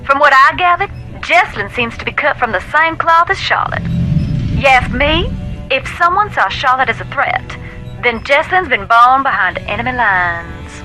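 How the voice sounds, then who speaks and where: A woman's voice: high voice, lively intonation, moderate pace, sharp tone, soft background music, rough recording. An actress playing a folksy, intense Southern American woman who talks to spirits, telling dramatic stories in a video game. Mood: knowing. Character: folksy, intense woman ghost-seer, knowing and haunted.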